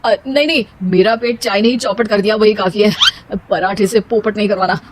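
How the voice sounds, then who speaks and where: Woman putting on a flustered, lowered-voice male guest. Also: fairly quick, dry recording, lightly acted. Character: awkward male family guest, polite and wary. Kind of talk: animated moral story character voice.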